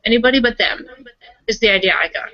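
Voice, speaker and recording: A woman's voice: fairly high pitch, dry recording, lo-fi sound. A woman playing a tabletop roleplaying game, talking casually in character and often correcting herself as she explains. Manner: halting, self-correcting, explanatory.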